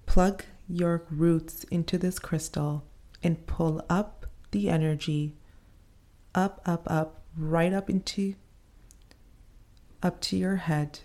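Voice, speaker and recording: A woman leading a guided meditation in a soothing, unhurried manner, pausing between short phrases. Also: deep voice, warm tone.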